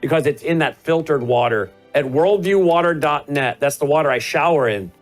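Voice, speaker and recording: A man's voice: a little high, lively intonation, moderate pace, soft background music. Male talk host, middle-aged, emphatic, pushes hard on rhetorical questions. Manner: emphatic, rising to forceful questions. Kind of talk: political commentary and panel hosting.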